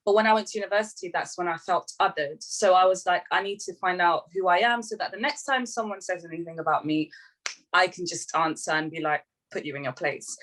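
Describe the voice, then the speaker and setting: A woman's voice: mid-range voice, a bit bright, rough recording. A young woman who grew up in London, talking eagerly as a panelist on a video call, with many fillers and restarts. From grew up in London, England. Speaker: panelist, writer and performer. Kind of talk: online panel talk.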